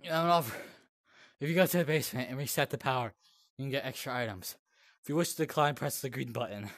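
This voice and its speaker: A man hosting a VR horror let's play, excitable, yelping and shouting when scared. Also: mid-range voice, quick pace, no room sound, studio quality. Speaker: gaming host. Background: game music.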